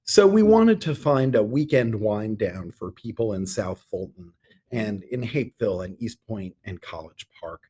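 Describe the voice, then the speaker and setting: A man's voice: lowish voice, animated, normal speed, dark tone, breathy edge. A middle-aged man speaking as a theatre director being interviewed on a podcast, articulate and enthusiastic, with ornate turns of phrase.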